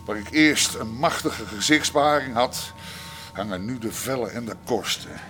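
An actor playing a boastful, messianic convict who preaches grandly and talks about himself by name in a radio play. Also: male voice, medium pitch, normal speed, a bit bright, natural voice. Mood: bitter, theatrical. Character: burn-scarred, boastful, messianic male prisoner with fascist leanings. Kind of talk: Dutch radio play acting. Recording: noisy radio drama recording.

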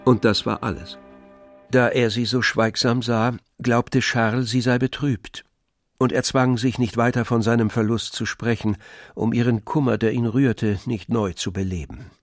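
A man narrating a literary radio drama in a measured, composed storytelling manner. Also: mid-range voice, steady tone, no echo, studio quality, soft background music. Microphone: close, dry studio microphone.

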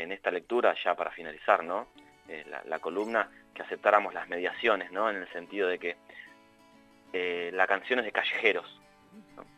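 Columnista argentino, voz grave y seca, vacilante, por teléfono de baja calidad. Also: male voice, low-pitched, bright, forceful tone, no room sound, highs cut off. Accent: Argentine Spanish. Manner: hesitant, many restarts and fillers. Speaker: radio columnist. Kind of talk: phoned-in radio column. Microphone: phone line.

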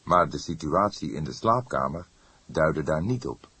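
A man reading a detective novel as an audiobook narrator, in measured, dramatic storytelling. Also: rumbling low, animated, pushed and bright, husky, dry recording.

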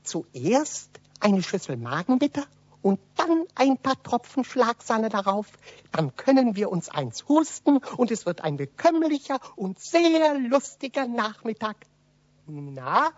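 High-voiced, cheeky little kobold. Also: female voice, big pitch swings, slow, a little mellow, dry recording, heavily acted. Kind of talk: German children's radio play. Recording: dull vintage radio recording.

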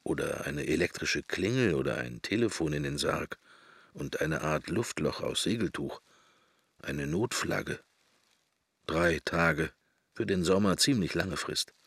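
An actor playing a wry, brooding middle-aged man murmuring a wandering inner monologue in a radio drama, gravelly and husky. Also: very deep voice, expressive, a little slow, a bit bright, dry recording, full character voice. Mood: anxious musing. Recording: roomless, very dry studio sound.

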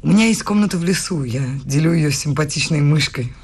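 A young woman student in a radio play, earnest and a little bossy. Her voice is husky and breathy, her delivery brisk and insistent. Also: low voice, a little mellow. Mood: polite, guarded. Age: young adult.

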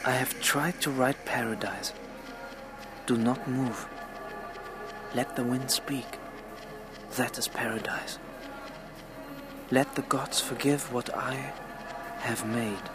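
Slow, solemn man reciting , played by an actor. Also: medium pitch, natural voice. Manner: measured poetic recitation. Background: music.

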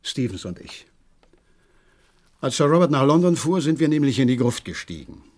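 An agitated horse trainer played by a male actor gives his account in a radio drama. His mid-pitched voice swings widely as he reports eagerly and dramatically, always deferential to his betters. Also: big pitch swings, moderate pace, a little mellow, close to an everyday voice. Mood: earnest. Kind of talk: German radio play acting.

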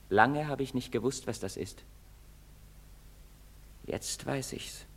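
Bitter despairing young outcast man, stage drama, declaims in short phrases, big echoey theatre. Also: fairly low pitch. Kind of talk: German stage drama acting. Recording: reverberant theatre stage recording.

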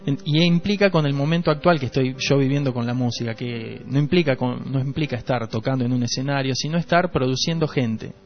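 A man with a Rioplatense Argentine accent talks in a dull, lo-fi radio recording with faint music underneath. His voice sits at a middling pitch. He reflects earnestly, often restating a thought for emphasis. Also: brisk, few pauses. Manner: earnest, rephrases and repeats for emphasis. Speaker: musician and producer, radio guest. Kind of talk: reflective conversational interview.

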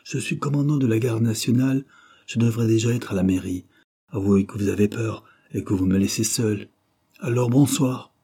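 A man's voice: on the low side, normal speed, dark tone, breathy edge. A man voicing a brusque, impatient militia commander in a storybook, blustering with exasperation. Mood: curt defiance.

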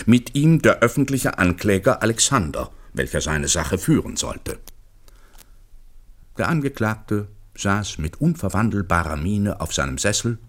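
A man's voice: low voice, husky. A man narrating a literary radio play as a scripted storyteller.

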